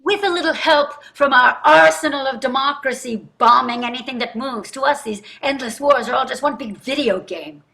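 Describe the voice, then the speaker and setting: Woman playing a bright-voiced, exasperated wealthy wife. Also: sits high, moderate pace, vocal fry, few pauses, full character voice. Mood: indignant. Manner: earnest, emphatic, exasperated. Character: wealthy, anxious, guilt-ridden wife, indignant and combative. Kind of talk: staged play reading, marital argument.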